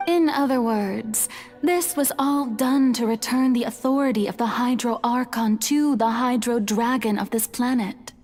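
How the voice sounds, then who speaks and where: A woman voicing a centuries-old female god in a video game, serene and quietly resolute, with a teasing, theatrical, formal manner. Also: fairly high pitch, a little slow. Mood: solemn, knowing. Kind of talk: dramatic video game voice acting. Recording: music behind the voice. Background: music.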